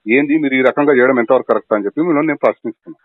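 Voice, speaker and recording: A man's voice: mid-range voice, rapid-fire, dry recording. A man with a Telangana Telugu accent confronting someone as a caller, accusing, insistent and cutting in. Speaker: caller from an activist organisation. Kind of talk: confrontational phone conversation. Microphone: phone. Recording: muffled recorded phone call.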